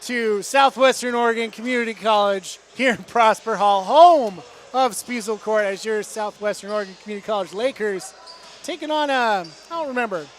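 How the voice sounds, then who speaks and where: A man's voice: high voice, moderate pace, slight background noise. A man commentating a college pregame show in a gymnasium, upbeat, loose and jokey. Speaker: sports commentator.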